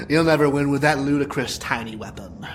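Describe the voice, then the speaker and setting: A man's voice: on the high side, big pitch swings, unhurried, a little hiss or hum. An actor playing a cocky, sadistic male crime lord in an audio drama, theatrical and menacing with a taunting manner. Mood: mocking. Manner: theatrical, menacing, punctuated by mocking laughs.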